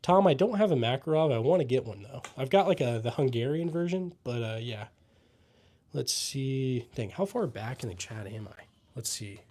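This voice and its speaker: Young man, from the US Midwest, livestream host, mellow dark voice, casual chat, relaxed with fillers and pauses. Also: mid-range voice. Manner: relaxed, trailing fillers, pauses to read comments.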